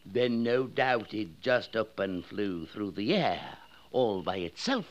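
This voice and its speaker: An actor playing a pompous, officious village constable in a 1950s radio drama. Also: male voice, fairly low pitch, sing-song, slow pace, highs cut off.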